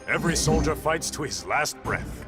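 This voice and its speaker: A man's voice: on the high side, expressive, moderate pace, over loud music, close to an everyday voice. An actor playing a proud, stern warrior king in a video game, speaking in a regal, formal, commanding manner. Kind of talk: dramatic video game dialogue.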